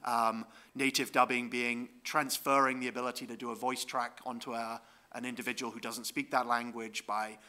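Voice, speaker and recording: Bright-voiced man presenting at a conference. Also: medium pitch, little pitch movement, moderate pace. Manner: explanatory, with frequent ums and tag questions. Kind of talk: conference talk with slides.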